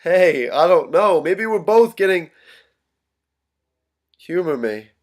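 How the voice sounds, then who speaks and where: A man in a staged drama reading, playing a troubled young son who speaks haltingly and slips into his missing family's voices. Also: high-pitched, expressive. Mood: subdued, weary. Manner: halting, repetitive, switching tones of voice. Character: troubled young son losing his grip, pleading, echoing his vanished family.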